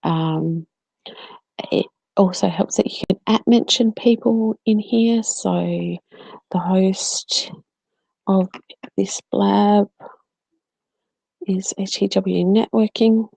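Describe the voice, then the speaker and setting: A woman's voice: medium pitch, steady tone, slow pace, vocal fry, pauses often, warm tone, breathy edge, no echo, rough recording. A woman from South Australia with a South Australian accent, co-hosting a casual live-stream Q&A and chatting to viewers, with plenty of ums. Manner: chatty, many ums, answers viewers by name. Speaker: co-host.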